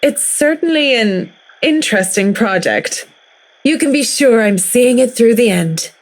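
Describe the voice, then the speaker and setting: A woman playing a composed, determined professional in a visual novel game, speaking politely and in a measured way. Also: on the high side, animated, rough recording.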